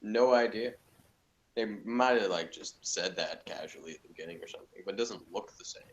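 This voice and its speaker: A man chatting casually on a review panel in a hesitant, halting way. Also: on the low side. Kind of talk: casual chat. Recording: dull lo-fi audio with hiss and clicks.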